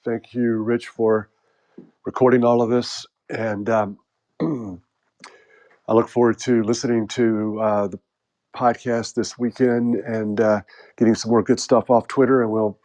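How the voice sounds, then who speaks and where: An American man hosts a loose group chat, joking and wandering between topics with many pauses and fillers. His voice sits at an ordinary middle pitch. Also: flat delivery, darkish tone. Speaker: host.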